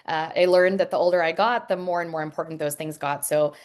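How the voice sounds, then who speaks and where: A woman's voice: sits low, steady tone, fairly quick. A woman who works as an emergency room nurse, answering questions on a video call in a frank, earnest way, with many ums and restarts. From Pakistani heritage.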